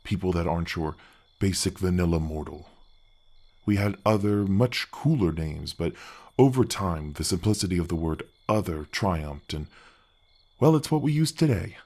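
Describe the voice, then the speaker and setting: A big, helpful male gargoyle, acted by a man with a very deep voice, lowered by an effect. He talks softly and earnestly, as in a fantasy ASMR roleplay, with courteous, slightly hesitant phrasing that makes him a kindly, bashful guardian. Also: expressive, moderate pace. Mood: reassuring, proud. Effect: deep-voice pitch effect. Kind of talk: ASMR fantasy roleplay, soft conversational acting.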